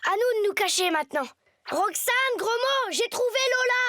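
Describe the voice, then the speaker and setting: Very high-voiced polite little boy. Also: expressive, moderate pace, bright, forceful tone, dry recording, studio quality, heavily acted. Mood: earnest. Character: polite, good-natured young boy in a snowman guise. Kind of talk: children's cartoon acting.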